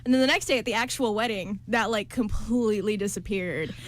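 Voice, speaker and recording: A woman's voice: mid-range voice, brisk. A woman co-hosting a radio show, chatting casually with a playful, slangy delivery. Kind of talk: casual anecdotal chat.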